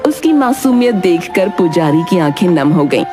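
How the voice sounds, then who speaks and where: Expressive woman storyteller over music. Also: lowish voice, moderate pace. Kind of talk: scripted children's story narration. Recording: background music under the voice.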